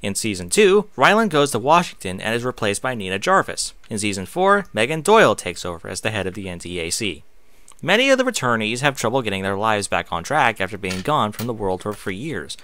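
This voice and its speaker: Animated man with a bright voice. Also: on the low side, brisk, no echo. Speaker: online reader and streamer. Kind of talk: reading aloud with casual off-script asides.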